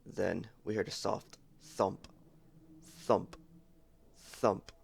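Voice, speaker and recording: Low, gravelly young man narrating dramatically. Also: flat delivery, very slow. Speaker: audiobook narrator. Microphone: headset. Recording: noisy amateur headset recording.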